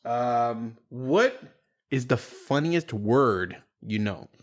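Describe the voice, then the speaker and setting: A man's voice: mid-range voice, animated, drawn-out words. A man chatting casually with young kids, asking questions and repeating their answers back. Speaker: host interviewing his children.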